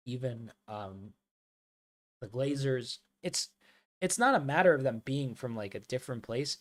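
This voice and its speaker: A man from the States, hosting a live football watchalong and chatting loosely with many fillers. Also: medium pitch. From United States. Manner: casual, rambling, many "like" fillers, calls viewers mate and bro. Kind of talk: live match watchalong commentary and chat.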